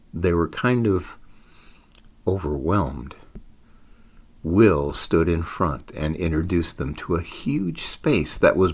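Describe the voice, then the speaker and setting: A man reading his own story aloud in an expressive storytelling style, with deliberate, dramatic phrasing. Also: sits low.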